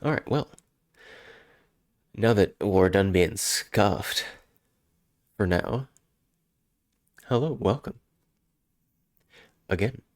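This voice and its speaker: A man's voice: fairly low pitch, animated, drawn-out words, long pauses, no room sound, clean studio sound. A man with a head cold, sounding congested, chatting casually and rambling on a live stream. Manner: rambling, self-interrupting, chuckling.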